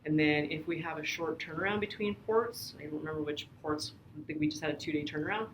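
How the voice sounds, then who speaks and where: A woman from Canada, a field programs coordinator, explaining her work in a brisk, emphatic way. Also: deep voice, slight background noise, low-quality recording. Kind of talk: casual podcast interview.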